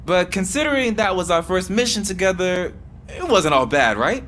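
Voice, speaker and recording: Eager, higher-voiced rookie cop. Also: male voice, big pitch swings, moderate pace, fairly bright tone, slight background noise. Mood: earnest, apologetic. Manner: earnest, hesitant, polite. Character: eager, self-doubting rookie policeman. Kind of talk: video game voice acting.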